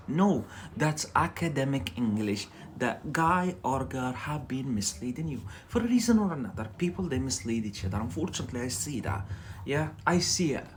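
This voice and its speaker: A man's voice: mid-range voice, a little hiss or hum. Chatty Scottish man teaching English. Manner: chatty, repeats "yeah?" as a tag. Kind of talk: live-streamed English lesson.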